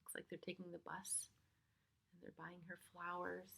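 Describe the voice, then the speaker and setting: A woman's voice: lowish voice, monotone, moderate pace, gravelly, pushed and bright. A middle-aged woman reads a picture book aloud to young children, adding simple explanatory asides. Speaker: preschool storyteller reading aloud.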